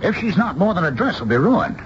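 A man's voice: high voice, big pitch swings, brisk. An exasperated father, voice swinging widely. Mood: insistent. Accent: American. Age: middle-aged. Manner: insistent, stammering, repeating himself. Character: bossy, exasperated father of a bride, middle-aged man. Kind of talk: radio drama acting. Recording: dull, hissy old radio broadcast.

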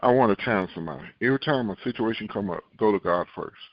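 A man preaching a sermon, reading scripture and acting out worried believers in a raised voice, repeating key lines slowly for emphasis. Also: lowish voice, no echo, lo-fi sound. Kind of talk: podcast sermon.

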